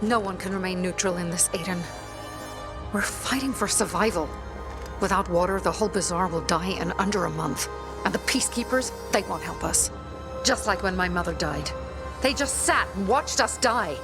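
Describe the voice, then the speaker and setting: A woman's voice: mid-range voice, moderate pace, music in the background, natural voice. An actress playing a stern, embittered young female rebel leader in a video game, firm and earnest. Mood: bitter, accusing. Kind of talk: video game dialogue acting.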